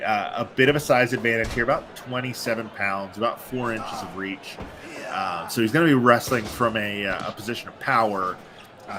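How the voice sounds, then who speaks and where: A man's voice: on the low side, noisy background. A man calling a wrestling match, congested and sleepy, rambling with lots of fillers between bursts of hype. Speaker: wrestling commentator. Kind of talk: live play-by-play commentary. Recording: music playing behind. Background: music.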